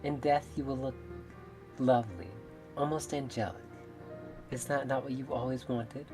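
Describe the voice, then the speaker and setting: A woman voicing a mermaid in a visual novel, measured, formal and coolly reasonable, politely menacing. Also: extremely low pitch, steady tone. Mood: serenely menacing. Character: calm, menacing female mermaid, politely murderous. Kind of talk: visual novel character read-aloud. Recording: music behind the voice.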